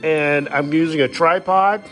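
Man casually talking, slightly higher-pitched voice. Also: a little slow, fairly bright tone, faint music behind, rough recording. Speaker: hiker showing gear. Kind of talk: casual unscripted gear walkthrough. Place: indoors in a home living room. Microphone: handheld phone camera, speaker behind it.

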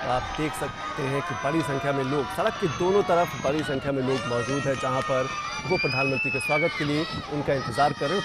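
A man's voice: fairly high pitch, heavy background noise. A man narrating a live news broadcast of a roadshow, talking urgently and repeating himself with fillers. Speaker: TV news reporter. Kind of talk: live news commentary over roadshow footage. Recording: loud crowd cheering and music behind. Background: crowd chanting and music.

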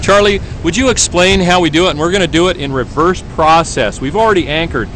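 A man's voice: on the high side, brisk, a bit bright, rough recording. A middle-aged man hosting a fishing demonstration on a river boat, talking in an upbeat, enthusiastic way. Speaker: fishing show host. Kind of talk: casual outdoor demonstration. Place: outdoors on a small boat on a river. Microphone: camera mic. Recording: heavy wind and boat noise.

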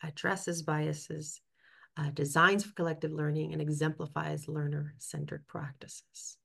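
A woman from New York talks through a webinar on a video call, with a slightly low voice. She reflects on her time as a principal and reads out comments from the chat. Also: flat delivery, unhurried, creaky, fairly bright tone, breathy edge. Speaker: webinar co-host, former principal. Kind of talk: conversational webinar discussion.

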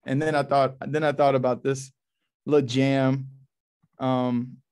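A man's voice: mid-range voice, level tone, darkish tone, clean tone. Male curator from New Orleans, thirties, mid-range voice, informal and slangy, feeling his way through sentences. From New Orleans, USA. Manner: laid-back, halting, slangy. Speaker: poetry reading curator. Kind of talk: casual, informal introduction. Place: small office, indoors. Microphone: video call, computer at arm's length.

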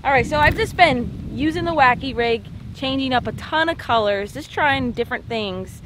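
High-voiced young American woman vlogging outdoors. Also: lively intonation, moderate pace, a bit bright, slight background noise. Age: young adult. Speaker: fishing show host. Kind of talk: casual fishing vlog narration. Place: outdoors on a small boat on open water.

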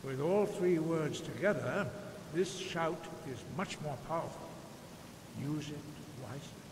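An actor playing a solemn old monk master in a fantasy video game, speaking slowly, formally and with measured gravity. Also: male voice, a little high, lightly acted. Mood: grave, instructive. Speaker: game character, mentor. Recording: echoing stone hall, noisy background ambience.

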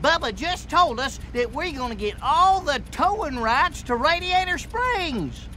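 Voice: sits high, big pitch swings, unhurried, bright, forceful tone, slight background noise, full character voice. An actor playing a happy, simple-minded, friendly truck in a game, eager and naive. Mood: excited.

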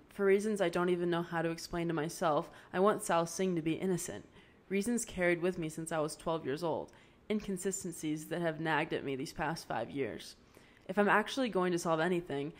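A woman voicing a dry-witted seventeen-year-old girl with a deadpan, wry manner. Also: fairly low pitch, lightly acted. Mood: earnest.

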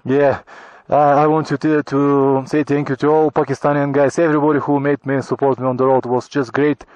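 A man's voice: medium pitch, level tone, no room sound, muffled recording. Bulgarian man vlogging on a mountain pass. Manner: warm, grateful, halting non-native English with restarts. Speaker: motorcycle travel vlogger. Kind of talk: casual travel vlog talk to camera. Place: high mountain pass outdoors. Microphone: action camera on helmet or in hand.

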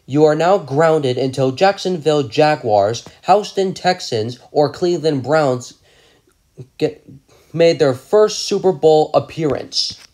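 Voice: mid-range voice, lively intonation, takes it slowly, darkish tone. A performer voicing a cartoon parent who lectures a child and sends him to his room. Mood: stern. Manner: firm, lecturing.

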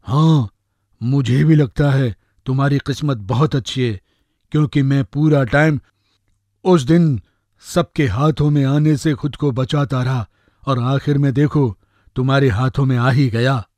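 A man voicing a friendly, confident magic eggplant in an animated story, chummy and reassuring. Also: medium pitch, measured pace, no room sound, close to an everyday voice. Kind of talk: animated moral story voice acting.

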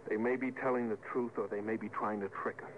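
A man's voice: fairly low pitch, steady tone, fast, lightly acted. Earnest, reasoning space officer, male, played by an actor, deferential manner, old radio drama on a muffled, hissy recording. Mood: subdued, doubtful. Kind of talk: mid-century radio drama acting.

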